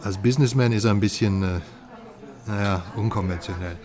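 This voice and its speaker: An actor in a radio crime drama playing a shrewd go-between who talks in a casual, coaxing and wry way. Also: male voice, lowish voice, brisk, darkish tone, lightly acted. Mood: explaining. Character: shrewd, persuasive male go-between for an American businessman.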